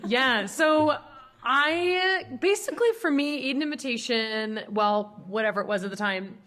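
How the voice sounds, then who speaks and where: A woman's voice: fairly high pitch, a little slow, a bit bright. A young American woman from Minnesota answering as an interview guest, talking reflectively in loose, stop-start conversational phrases. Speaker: podcast guest, ministry co-founder.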